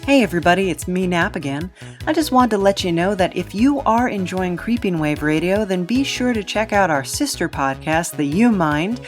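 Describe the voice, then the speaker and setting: A woman's voice: on the low side, expressive, moderate pace, over music. A woman hosting a podcast, speaking in a casual, chatty style. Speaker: podcast host and writer.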